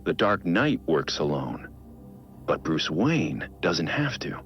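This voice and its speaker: A man playing a brooding, weary middle-aged billionaire vigilante, recording a reflective video game audio log in a deliberate, understated, gravelly voice. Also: sits low, sing-song, exaggerated voice. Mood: weary, self-reflective. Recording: dull lo-fi audio log, music behind. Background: music.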